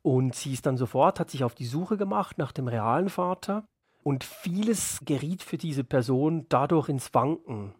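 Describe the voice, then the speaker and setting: A man's voice: fairly high pitch, animated, normal speed, slightly rough, no echo, clean studio sound. A man being interviewed as an author, talking thoughtfully and explaining as he searches for his wording. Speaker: interviewed radio play author. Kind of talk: reflective literary interview talk.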